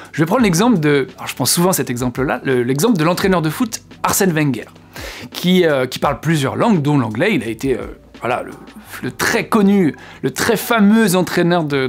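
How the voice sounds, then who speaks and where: A man, a French speaker, hosting a casual video lesson. He talks chattily, fills gaps with hesitations and keeps asking the viewer questions. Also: on the high side. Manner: chatty, asks the viewer questions, many fillers. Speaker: English teacher, channel host.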